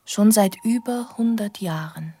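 An actress playing a lonely, wistful young woman, narrating a radio drama in a breathy, half-whispered, reflective voice. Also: medium pitch, measured pace, dark tone, no echo. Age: young adult. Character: lonely, wistful young woman recalling her childhood in a castle. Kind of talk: intimate first-person radio drama narration.

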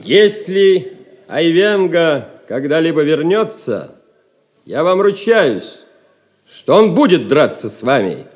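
A man's voice: fairly high pitch, big pitch swings, slow. A man acting a bold, defiant pilgrim in a theatrical audio drama, speaking formally and emphatically. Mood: defiant vow.

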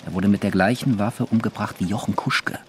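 Man playing a low-voiced, earnest police investigator. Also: fairly even intonation, slight background noise, natural voice. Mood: grave, careful. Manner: measured, serious. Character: earnest male police investigator reporting a conspiracy. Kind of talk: German radio drama acting.

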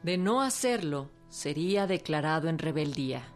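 A woman's voice: low-pitched, lively intonation, moderate pace, music in the background. A woman narrating a scripted historical radio drama in a formal announcer manner.

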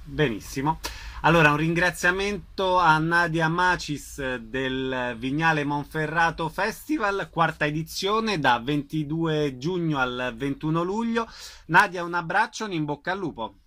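A man's voice: on the high side, unhurried, sharp tone. A man hosting a radio interview, asking questions and presenting guests.

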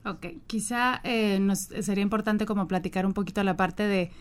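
Female meditation teacher as radio guest, Mexican accent, smooth clear tone, informal explanatory delivery. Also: mid-range voice, steady tone, moderate pace. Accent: Mexican Spanish. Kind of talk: explanatory conversational interview.

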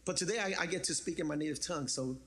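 A man preaching in a loose, joking, conversational way, his voice sitting a bit high for a man. He teases the congregation and then settles into prayer without losing his easy manner. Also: racing, a bit bright. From the Bronx, New York. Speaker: pastor. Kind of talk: sermon.

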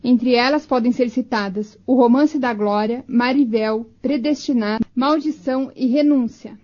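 A woman's voice: a little high, moderate pace, a little mellow, a little hiss or hum. A Brazilian woman reading scripted narration as a radio documentary narrator. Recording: dull, band-limited audio.

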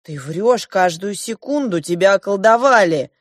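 A woman's voice: mid-range voice, lively intonation, normal speed, dry recording, clean studio sound, pitched above the performer's own voice, natural voice. A woman voicing an earnest younger prince in an audiobook, speaking firmly and emphatically in accusation. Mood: accusing. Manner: firm, emphatic.

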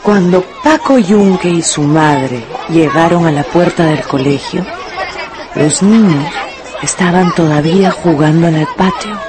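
A man's voice: on the high side, a little slow, close to an everyday voice. A man narrating a dramatized story for radio in a storybook style. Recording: loud music and schoolyard crowd behind.

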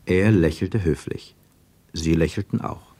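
A man narrating a scripted radio drama in a measured, literary and dramatic manner. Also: low voice, creaky, dark tone, dry recording.

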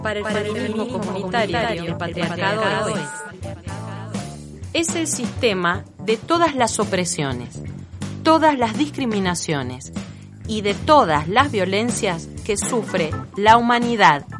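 A woman reading a scripted definition in a measured, explanatory way. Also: mid-range voice, animated, over music. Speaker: announcer in a radio spot.

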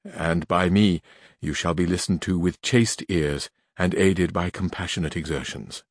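Guy doing a low solemn old knight, all formal and husky, dry close sound. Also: dry recording. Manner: ceremonious, slow and weighty.

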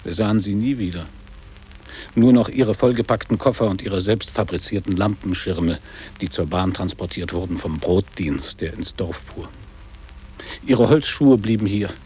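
An actor playing a guarded, wistful middle-aged man looking back on boarding school in a measured, literary radio play delivery. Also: on the low side, dark tone, breathy edge. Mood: insistent, remembering. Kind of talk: German radio play acting.

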